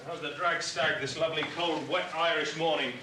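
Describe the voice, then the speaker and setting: An actor playing a breezy, relentlessly cheerful army officer in a training film, chirpy and joking. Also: male voice, a little high, steady tone, fairly quick, lightly acted. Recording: noisy 1980s film soundtrack.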